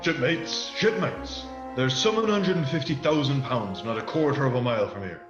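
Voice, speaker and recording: A man's voice: medium pitch, lively intonation, normal speed, close to an everyday voice. Man playing a wily pirate leader in echoey, muffled audio. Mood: scornful. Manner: rustic old-sailor dialect. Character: wily male pirate leader, persuasive and scheming. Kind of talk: radio play acting. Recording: muffled, echoey audio under loud music.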